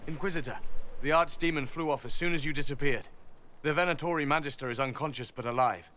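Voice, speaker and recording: A loyal soldier reports to his leader in a fantasy video game. He sounds formal and respectful, his voice a touch high. Faint noise runs under it. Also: fast talker, sharp tone. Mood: dutiful.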